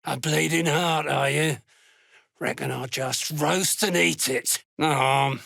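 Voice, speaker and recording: A gruff game villain, a callous dark-dwarf soldier hunting slaves. The voice is gravelly and fairly high for a male, with a hard forceful edge. The delivery is blunt, scornful and threatening. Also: fairly even intonation, takes it slowly, no room sound, clean studio sound, heavily acted. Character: gruff, menacing duergar slave hunter, callous fantasy soldier. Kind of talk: video game character voice lines.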